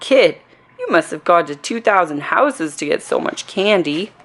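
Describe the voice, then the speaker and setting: A woman's voice: fairly low pitch, expressive, measured pace. A woman voicing a blustering male policeman in a storybook, stern, incredulous and boastful. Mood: stern, grudging.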